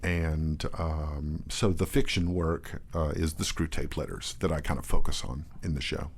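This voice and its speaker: A man talking as a guest in a casual in-depth discussion, often restarting his phrases in a halting way. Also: extremely low pitch, steady tone, slight background noise. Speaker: guest, theatre artistic director and performer.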